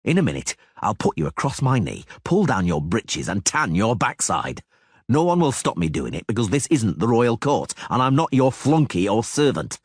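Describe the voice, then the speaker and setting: A male narrator voicing a weary grown monster hunter who speaks in a curt, dry, gruffly sarcastic way. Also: on the low side, big pitch swings, normal speed, no echo, voice pushed up. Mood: stern. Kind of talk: audiobook character voice.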